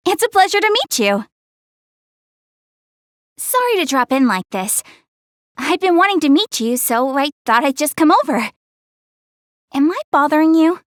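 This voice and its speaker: Game casting: young tsundere goddess girl, very high voice, lively inflection, proud yet self-conscious, dry close sound. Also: dry recording, exaggerated voice. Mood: polite, friendly. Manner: earnest, self-conscious, hesitant backtracking. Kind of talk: English video game voice acting.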